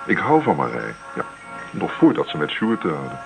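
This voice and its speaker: A man's voice: rumbling low, huge pitch swings, moderate pace, vocal fry, music in the background, heavily acted. An actor playing an eager, lovelorn young man in a Dutch radio drama. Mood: earnest, lovelorn. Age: young adult. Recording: noisy radio play recording.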